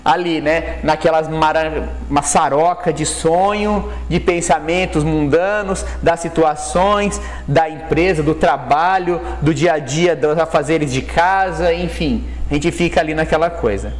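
A man's voice: on the high side, measured pace, few pauses. A man in his thirties with a Brazilian accent giving an informal religious lecture, explaining colloquially and often rephrasing with little tag questions. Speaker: lecturer at a Spiritist study group. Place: indoors, plain room with whiteboard backdrop. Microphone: clip-on lapel microphone.

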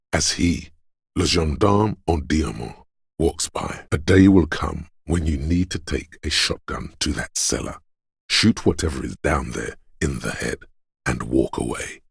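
A man voicing a grim, stoic inner voice of endurance for a game, in a solemn, portentous manner with a husky, gravelly quality. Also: a bass voice, no echo, studio quality, exaggerated voice. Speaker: voice actor. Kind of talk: dramatic game narration, second person.

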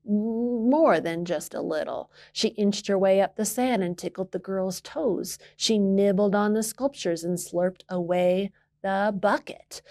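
A woman in storytime mode, reading a picture book to children. She takes her time, stressing key words and pausing for drama, in a medium-pitched voice. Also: a little mellow. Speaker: library storytime reader. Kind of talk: expressive children's picture-book read-aloud.

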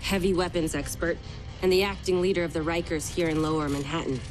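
A woman's voice: fairly low pitch, flat delivery, fairly quick, music in the background, close to an everyday voice, rough recording. A woman playing a stern agent who gives terse target profiles in a video game mission briefing. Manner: terse, serious.

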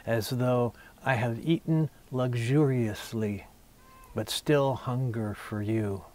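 A man in his sixties recites poetry at a slow pace, with a medium-pitched voice. His phrasing is deliberate and intimate, each short line set apart by a pause, as a poet reading his own work. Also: darkish tone.

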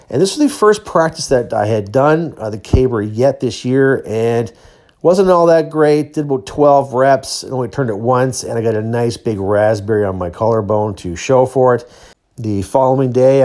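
A man's voice: mid-range voice, a little mellow. A middle-aged man giving a casual, plain-spoken voice-over of training footage, explaining as he goes. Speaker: athlete and training vlogger.

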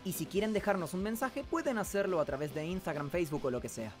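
A young man hosting an animated comedy video, giving mock-grand royal proclamations with deadpan asides, recorded on a studio microphone. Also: sits high, fast, soft background music. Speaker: animation channel host and voice actor. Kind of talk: scripted comic narration for an animated video.

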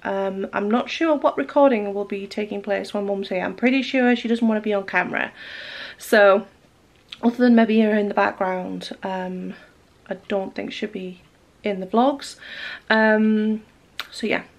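A woman in her forties, a bit croaky, chatting casually to camera in her living room about her day and her knitting, with lots of ums. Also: medium pitch, fairly even intonation, measured pace. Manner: chatty, rambling with many ums. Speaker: knitting vlogger. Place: living room at home, indoors. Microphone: camera close in front.

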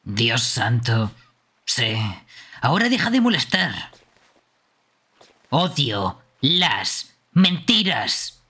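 A man's voice: medium pitch, sing-song, very slow, pauses often, full character voice. A man voicing a hot-headed young killer. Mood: impatient. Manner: gruff, shouting insults. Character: violent scarred young male killer, short-tempered. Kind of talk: live fan dub of a game.

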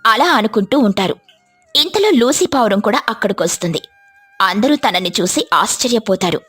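A woman's voice: mid-range voice, animated, fairly quick, fairly bright tone, slightly rough, soft background music. A woman storyteller reading scripted narration for a children's tale.

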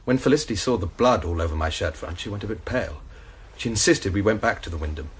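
A man's voice: fairly low pitch, lively intonation, quick pace, breathy edge. An actor playing an anxious young male student, telling his story in a rambling, worried way in a radio drama. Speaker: student suspect. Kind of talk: BBC radio drama acting.

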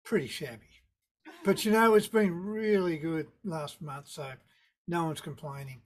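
An older man with a Tasmanian accent, a surf photographer talking on a video call in a relaxed, rambling way with dry understatement. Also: on the high side, a little slow. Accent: Tasmanian Australian. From Tasmania, Australia. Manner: relaxed, rambling storyteller with laid-back understatement. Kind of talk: casual interview on a video call. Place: indoor room with a virtual wave backdrop. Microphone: video call webcam.

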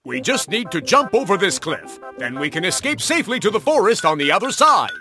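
A proud cartoon big cat, bold and emphatic. His voice is high for a male, bright and full of swings, with faint music underneath. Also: big pitch swings, fairly quick, full character voice. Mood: thoughtful, eager. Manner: boastful, emphatic delivery. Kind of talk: children's cartoon voice acting.

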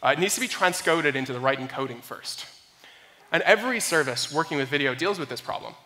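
A young man presents a technical talk in a hall with long reverb. His voice sits a little high and has a bright, forceful edge. He explains things in a measured, prepared way. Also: expressive. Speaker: software engineer, conference speaker. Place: indoor conference room, speaking on stage.

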